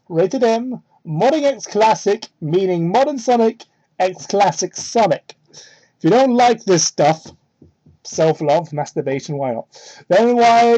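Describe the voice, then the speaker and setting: A man with a high, mellow voice reads a story aloud in a mock-dramatic way. He breaks off for sarcastic, incredulous commentary and leans on words theatrically. The sound is dull and lo-fi, from a cheap microphone. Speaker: host doing a dramatic reading of fan fiction. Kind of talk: mocking read-aloud with ad-libbed commentary. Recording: muffled, low-quality cheap microphone.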